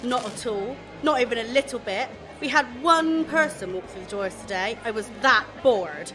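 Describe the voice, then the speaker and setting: A woman's voice: fairly high pitch, lively intonation, moderate pace, faint music behind, some chatter behind, close to an everyday voice. A woman acting in a radio comedy as an aggrieved, self-assured shop assistant, chatty, grumbling and emphatic. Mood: bored, grumbling.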